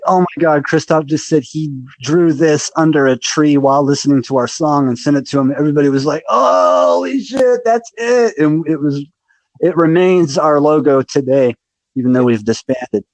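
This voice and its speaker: A man's voice: on the high side, lively intonation, normal speed, no room sound, low-quality recording. A man hosting a podcast interview who chimes in often with enthusiastic agreement and retells his own memories. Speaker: podcast host, musician.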